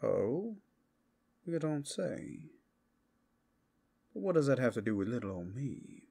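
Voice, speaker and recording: A man's voice: medium pitch, sing-song, takes it slowly, creaky, dark tone, husky, heavily acted. A man playing a wry, chatty werewolf in a fantasy ASMR roleplay, casual and theatrical in his delivery. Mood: coy curiosity.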